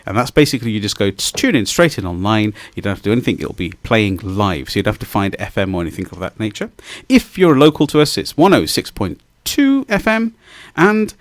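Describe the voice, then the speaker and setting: A man's voice: lowish voice, brisk, no room sound. Effusive man hosting live radio. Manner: effusive, rambling, lively intonation. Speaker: radio host. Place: radio studio.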